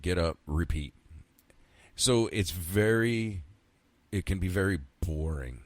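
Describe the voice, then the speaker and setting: Low-voiced man in his fifties rambling. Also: no room sound. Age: 50s. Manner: rambling, self-talking, frequent swearing. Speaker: podcast host. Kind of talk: casual solo podcast monologue.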